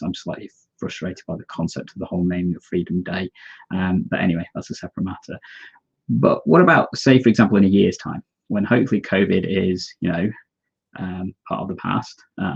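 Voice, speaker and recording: A man's voice: low voice, vocal fry, a little mellow, breathy edge, muffled recording. A man co-hosting a live discussion, explaining his views earnestly and reading out viewer comments. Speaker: co-host.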